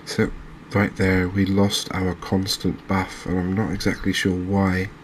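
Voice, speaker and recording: A man with an English accent giving soft-spoken ASMR game commentary in a hushed, unhurried, hesitant manner. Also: sits low, completely flat delivery, slight background noise. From England. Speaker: gaming video host.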